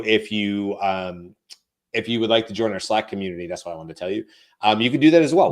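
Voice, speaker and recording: A man with a fairly low voice co-hosts a live talk show, chatting loosely with plenty of fillers. His voice is going hoarse. He sounds like a desk mic on a remote call. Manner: relaxed, rambling, frequent fillers. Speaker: co-host. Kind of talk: casual live show chat.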